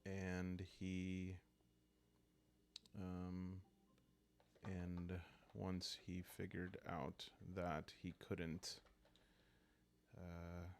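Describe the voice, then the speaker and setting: A man softly narrates a solo roleplaying game for sleepy listeners. His voice is low and heavy with fry, and he takes his time, calmly feeling out each phrase as he improvises. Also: slight background noise. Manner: calm, soft-spoken, halting.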